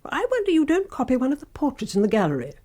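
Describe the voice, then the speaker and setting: A woman's voice: high-pitched, huge pitch swings, racing, warm tone, full character voice. An actress playing a sinister, obsessive housekeeper in a radio drama, insinuating and intimate, lingering on her phrases. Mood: sly, coaxing.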